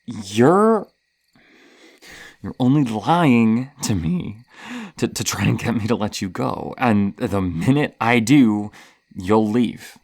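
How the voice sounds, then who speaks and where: A man's voice: lowish voice, animated, a little mellow, no echo, clean studio sound, full character voice. A man playing a lonely, insecure masked killer in an intimate horror ASMR roleplay, stammering and flustered. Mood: bitter, wary. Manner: stammering, halting, breathy sighs and nervous laughs.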